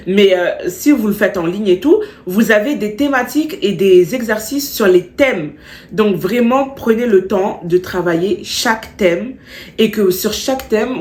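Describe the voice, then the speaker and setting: Young woman, stuffy cold voice, animated slangy chat, middle pitch, roomy echo. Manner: animated, slangy, repeats words for emphasis. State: stuffy, still has a cold. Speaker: beauty vlogger. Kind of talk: chatty storytime vlog with advice.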